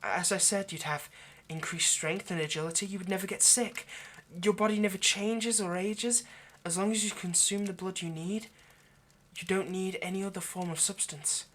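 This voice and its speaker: A man's voice: high voice, a little hiss or hum. A man playing an earnest, lovestruck vampire in an intimate roleplay monologue, speaking hesitantly and persuasively. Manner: hesitant, stammering, gently persuasive. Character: earnest, lovestruck male vampire coaxing a partner.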